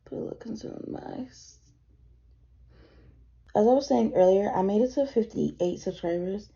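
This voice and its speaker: A tired woman of twenty-one, chatting casually and rambling as she does her makeup at home, her voice a little deeper than usual from tiredness. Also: normal speed, creaky, mellow, slightly rough, lo-fi sound. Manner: rambling, easily sidetracked, swears casually. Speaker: vlogger. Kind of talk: casual get-ready-with-me chat.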